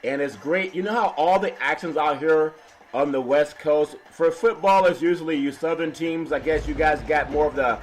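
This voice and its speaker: A man with a raspy, half-lost voice hosting a casual sports talk show, laughing often and talking slowly. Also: a little high, rough recording. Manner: slow and laughing, keeps calling his co-host's name. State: hoarse, partly lost voice. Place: West Coast radio studio.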